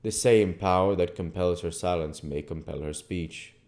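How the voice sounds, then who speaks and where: A man reading a classic novel aloud as an amateur audiobook reader, in a measured, formal way. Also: low voice, a little mellow.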